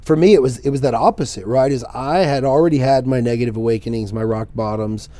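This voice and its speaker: A man in his forties talks in a mellow, warm voice as a podcast guest, earnest and reflective as he tells his story. The sound is close and dry, with no room echo. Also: mid-range voice, fast talker. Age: 40s. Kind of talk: casual podcast conversation.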